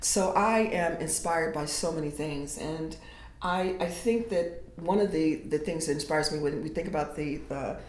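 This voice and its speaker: A woman poet reading her verse slowly and dramatically, sinking to whispers at times, then talking casually about her writing. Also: low voice. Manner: slow, dramatic reading with hushed whispered lines. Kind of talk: poetry reading and casual interview. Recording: echoey room.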